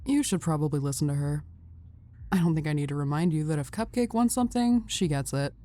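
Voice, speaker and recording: Woman doing a tough teasing fighter gal, deep voice, blunt and cocky, audio drama. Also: brisk, mellow, close to an everyday voice. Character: tough brash young woman brawler. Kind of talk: fan audio roleplay.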